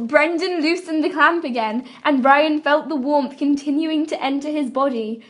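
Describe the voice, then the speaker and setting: A young woman with a high voice reads fan fiction aloud as an amateur. She performs it as a dramatic reading. Her delivery is giggly and prone to breaking up. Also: moderate pace, a bit bright. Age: young adult. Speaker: amateur reader. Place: room at a school.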